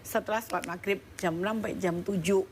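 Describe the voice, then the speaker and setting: A woman's voice: mid-range voice, steady tone, a little fast, a little hiss or hum. A woman doctor appearing as a guest on a television health talk show, explaining treatments in a chatty way with casual fillers. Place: TV studio.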